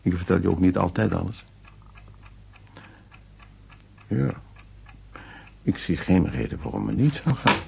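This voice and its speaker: An actor playing a weary, evasive husband in a radio play, speaking hesitantly and haltingly. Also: male voice, low voice, huge pitch swings, vocal fry, slight background noise, full character voice. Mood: hesitant, reassuring. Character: evasive husband, weary home-loving office worker. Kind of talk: naturalistic radio play acting.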